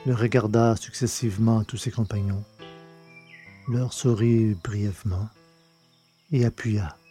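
Dark-toned male audiobook narrator. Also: lowish voice, steady tone, soft background music. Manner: measured, deliberate storytelling. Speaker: audiobook reader. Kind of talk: scripted narration of a science-fiction novel.

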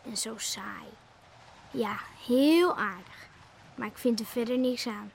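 A woman playing a lively, outspoken young village schoolgirl in a Dutch radio play. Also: fairly high pitch, takes it slowly, creaky, slight background noise. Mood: chatty, teasing.

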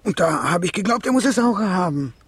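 An actor in a German radio play playing a proud, defensive, troubled middle-aged father with a rough, raspy voice. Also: sits high, animated, fairly quick, fairly bright tone, dry recording, exaggerated voice. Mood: anxious, defensive.

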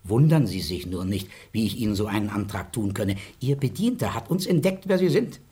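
Formal, effusive baron, husky, very lively melody, radio play. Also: male voice, lowish voice, fairly quick, heavily acted. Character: grateful wealthy baron, a father. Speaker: father.

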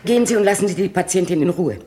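An actress playing a resolute, brusque elderly nurse in a 1970s radio crime play. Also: female voice, lowish voice, lively intonation, very fast, dark tone, natural voice. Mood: brusque, stern. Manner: brusque, commanding. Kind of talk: 1970s German radio crime drama acting. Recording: roomy, noisy old radio recording.

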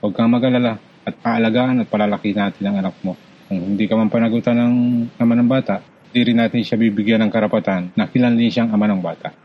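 An actor playing a calm, forgiving middle-aged father, soothing and protective, in a radio drama. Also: lowish voice, quick pace, close to an everyday voice. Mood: calm, reassuring. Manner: soothing, reassuring. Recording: dull lo-fi sound with background hiss.

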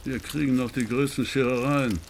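Man acting a gruff, creaky-voiced crook. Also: medium pitch, steady tone, moderate pace, slight background noise, close to an everyday voice. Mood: irritated. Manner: blunt, colloquial. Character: gruff male crook, annoyed henchman giving orders. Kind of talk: German radio play acting.

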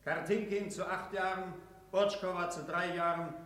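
A distant, very echoey man's voice in an old radio drama. a stern court official who declaims a sentence formally, pitched high. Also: fairly even intonation, normal speed, fairly bright tone. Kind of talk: 1960s German radio drama acting. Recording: very echoey hall, distant from microphone.

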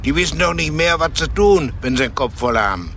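An actor playing a surly, irritable pub regular in a radio crime drama, talking in a gruff, curt way. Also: male voice, a little high, expressive, brisk, bright, forceful tone, a little hiss or hum. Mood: grumbling. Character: surly, grumbling male pub regular, quick to threaten.

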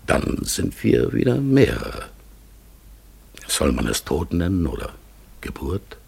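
An actor playing a gentle, melancholy talking raven in a radio play, halting and solemn. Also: male voice, extremely low pitch, huge pitch swings, heavily acted. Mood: awed, hushed. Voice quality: husky, gravelly, breathy. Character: melancholy talking raven exiled among humans, searching, gentle. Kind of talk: German radio play acting.

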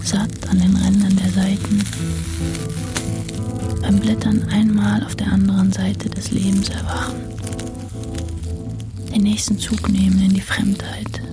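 A woman reading poetic narration for a radio play in a measured, literary way. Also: mid-range voice, flat delivery, close to an everyday voice. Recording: music behind the voice. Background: plucked guitar music.

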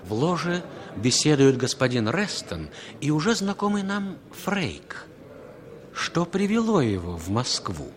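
An actor as the narrator of a spy drama, reading scripted narration in a 1960s radio play. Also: male voice, a little high, sing-song, unhurried, crowd noise. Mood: narrating.

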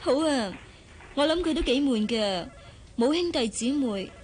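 Polite modest young secretary, high voice, hong kong cantonese radio drama, faint noise. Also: female voice, expressive, a little slow. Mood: friendly. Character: modest, principled young female secretary, kind.

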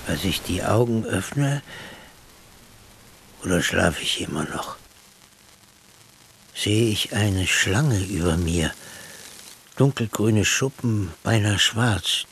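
An actor playing a pensive, cerebral man in a literary radio play monologue, speaking in a measured, reflective manner. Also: lowish voice, fairly bright tone, slight background noise. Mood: uneasy, dreamlike. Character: pensive man musing on mind, matter and dreams.

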